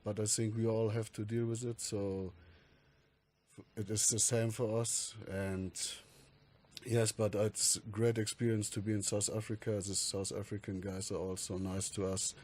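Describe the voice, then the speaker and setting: Guy, talks steady and matter-of-fact, lowish even voice. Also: normal speed. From Germany. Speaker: MMA fighter guest. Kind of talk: interview answer.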